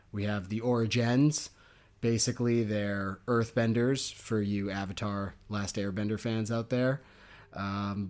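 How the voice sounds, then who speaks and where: A young man co-hosting a book podcast, chatting casually and jokily, laughing often. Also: medium pitch. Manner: jokey and animated, laughs often. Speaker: podcast co-host.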